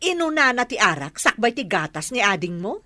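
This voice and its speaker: A woman's voice: fairly high pitch, sing-song, brisk, sharp tone. A woman with an Ilocano accent playing a scolding, incredulous but grudgingly kind village auntie in a comedy radio drama. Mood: disapproving.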